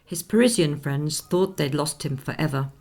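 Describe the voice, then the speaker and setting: Radio drama narrator: poised, measured, very low register with some gravel, faint music underneath. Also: female voice, darkish tone. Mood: composed, narrating. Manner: measured, formal storytelling. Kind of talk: scripted radio play narration.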